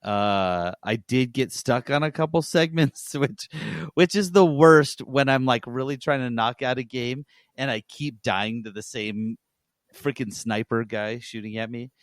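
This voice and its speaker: A man's voice: mid-range voice, a little slow, dry recording, studio quality. A man co-hosting a podcast, giving a casual, rambling review and often correcting himself as he goes. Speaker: podcast co-host reviewing games.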